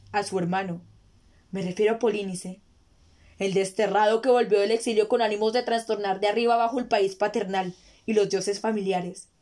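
A woman reads the part of a proud ruler of ancient Thebes in a Greek tragedy. The voice sits a little high, and the delivery is formal, grave and oratorical, like a stern king addressing his elders. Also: a little mellow, lightly acted. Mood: stern, condemning. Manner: solemn, declamatory, formal oratory. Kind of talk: dramatic reading of classical Greek tragedy.

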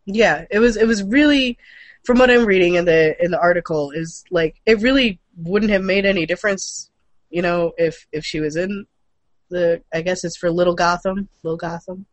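A woman's voice: lowish voice, moderate pace, no echo. A woman co-hosting a casual gaming and comics news stream, speaking in a loose, informal way. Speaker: show co-host.

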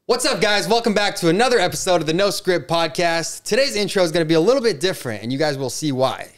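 A man's voice: sits high, expressive, fast talker. A male podcast host chatting casually and playfully with a guest, using easy slang. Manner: playful, laughs easily, slangy.

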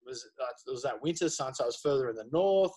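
A man's voice: mid-range voice, lively intonation, fast talker, warm tone, husky. A man, chatting casually as a podcast guest, rambling with lots of fillers. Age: 30s. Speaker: guest photographer.

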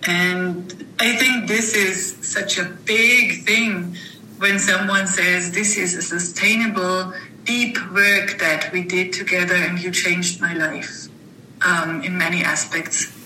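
A woman answering interview questions in a thoughtful, halting way, often restarting her sentences. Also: fairly low pitch, fairly even intonation, bright, forceful tone, echoey room, slight background noise, rough recording. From Germany. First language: German. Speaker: guest, author and mentor.

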